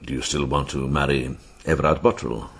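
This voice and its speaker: An actor playing a gentle, grave older monk in a radio drama. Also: male voice, very deep voice, fairly even intonation, brisk, vocal fry, husky, full character voice. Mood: gentle, reflective.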